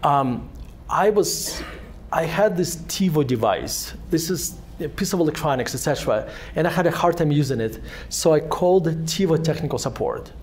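A man's voice: a little high, expressive, normal speed, slight background noise. A man in his forties with a Russian accent, speaking as a guest at a fireside chat in a synagogue hall, telling rambling self-deprecating stories full of restarts. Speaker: author and guest. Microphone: handheld microphone.

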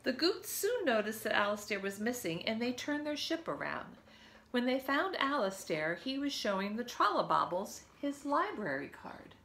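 A woman's voice: on the high side, sing-song, normal speed, a bit bright, a little hiss or hum. A woman in her fifties reads a picture book aloud to young children, expressively, with chatty asides, recorded at home. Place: living room at home, by a window.

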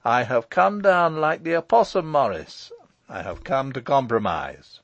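A man voicing a brash, teasing, self-assured lawyer in an audiobook, with a forceful, jaunty, mock-pompous delivery. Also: medium pitch, animated, a little slow, dry recording, dull audio. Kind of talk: audiobook character voice.

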